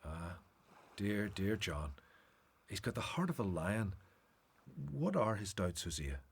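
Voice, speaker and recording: Man playing husky-voiced, expressive gentle teacher. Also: on the low side, slow, heavily acted. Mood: gentle, caring. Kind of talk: radio Bible drama acting.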